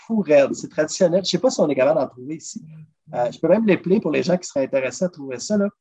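A man's voice: a little high, very fast, husky. A man with a Québécois accent, chatting as a podcast guest and eagerly walking through recipes. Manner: chatty, lively, keeps saying "listen" as he explains recipes. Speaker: guest, drummer and food traveler. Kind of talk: casual interview chat. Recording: dull lo-fi recording.

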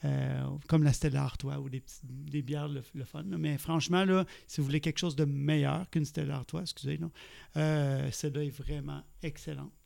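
A man's voice: fairly high pitch, little pitch movement, normal speed, creaky, darkish tone. A man in his forties with a Québécois French accent, chatting casually as a podcast co-host. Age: 40s. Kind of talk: casual chat about craft beer.

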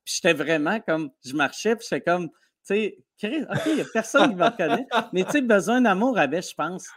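Québécois comedian man, slightly high voice, lively rambling storyteller. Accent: Québécois French. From Quebec. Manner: animated storytelling, fast with restarts. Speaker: comedian guest. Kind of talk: casual podcast interview.

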